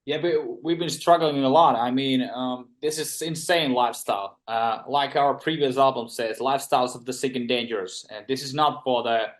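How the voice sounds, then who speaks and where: A man's voice: mid-range voice, moderate pace, few pauses. An earnest, intense man with a Finnish accent, a musician guest on a podcast. He speaks English as a second language, often repeating his first words before his thought gets going. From Finland. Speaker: band member, guest. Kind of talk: podcast interview answers.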